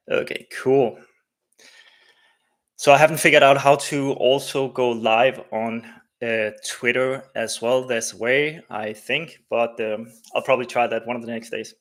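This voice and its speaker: A man's voice: medium pitch, a little slow, a bit bright. Man rambling on a live stream. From Denmark. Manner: rambling, many fillers and restarts. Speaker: livestream host, developer. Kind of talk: casual live coding stream.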